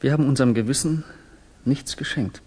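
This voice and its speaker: Actor playing a fervent young revolutionary student, husky voice. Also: male voice, medium pitch, a little fast, a little mellow, close to an everyday voice. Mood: earnest, confessional. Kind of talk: 1960s German radio drama acting.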